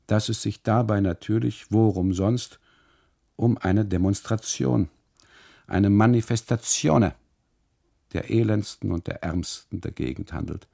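Deep, gravelly man narrating a dramatic monologue. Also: a little slow, warm tone. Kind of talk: dramatic first-person literary monologue, radio play.

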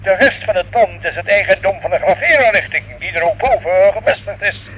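A courteous hesitant gent in a radio drama, high sharp husky voice. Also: male voice, brisk. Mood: polite, hesitant. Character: polite, hesitant businessman answering police questions. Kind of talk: radio play acting. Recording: muffled telephone voice in old radio play.

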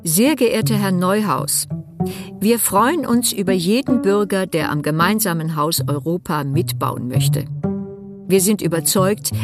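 Formal office official: low, gravelly, stiffly polite. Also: female voice. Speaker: official reading out reply letters. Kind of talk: radio drama letter reading.

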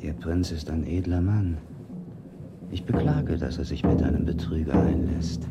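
An actor playing a distrustful, mocking gentleman with a sardonic manner in a radio play. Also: very deep voice, normal speed, muffled recording, heavy background noise. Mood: scornful. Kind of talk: German radio play acting. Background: loud music.

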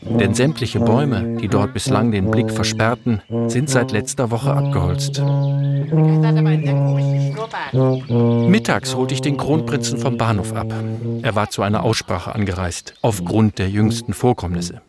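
A man's voice: lowish voice, moderate pace, loud music behind the voice, close to an everyday voice. A man playing a dry, sober and disapproving court aide who narrates a radio play in polite, understated diary style. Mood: matter-of-fact.

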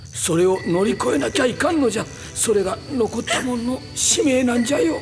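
A man playing an excitable, caring old man in an anime, using old-fashioned, emphatic elder speech. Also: very high voice, brisk. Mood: sorrowful, admonishing. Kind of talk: anime voice acting. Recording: long hall reverb, loud music behind.

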